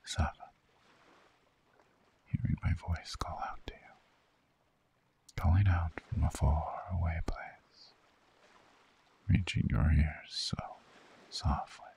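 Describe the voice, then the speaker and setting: A man voicing a soothing, seductive vampire lover in a slow, hypnotic ASMR sleep roleplay, half-whispering with a breathy, gravelly voice. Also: rumbling low, fairly even intonation, darkish tone, full character voice. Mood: hypnotic, lulling. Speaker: ASMR voice actor.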